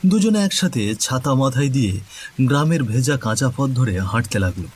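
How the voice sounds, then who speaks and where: Animated male storyteller, mid-pitched. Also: moderate pace, slightly rough. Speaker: narrator. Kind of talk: scripted cartoon story narration. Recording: faint background noise.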